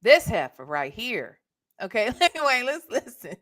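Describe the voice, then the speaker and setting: A woman's voice: on the high side, very animated, normal speed, a bit bright. An American woman hosting a casual live reaction show, blunt, sassy and profane, with emphatic rhetorical questions. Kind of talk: casual live commentary with chat.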